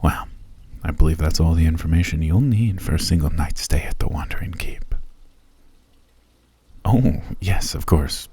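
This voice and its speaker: A man voicing a courteous, centuries-old male elf who hosts a traveler in an ASMR roleplay, speaking in a gracious, formal, soft manner. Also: a bass voice, normal speed, breathy edge, exaggerated voice. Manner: gracious, formal and softly spoken. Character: ancient male elf host, courteous and genteel.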